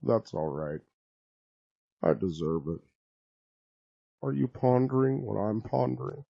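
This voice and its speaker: A man's voice: fairly low pitch, animated, slow, gravelly, slightly rough, dry recording, highs cut off, pitched above the performer's own voice, full character voice. A man voicing a scheming male cartoon lab mouse whose bitterness sinks into a broken, resigned despair. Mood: forlorn. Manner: venomous, then forlorn and resigned.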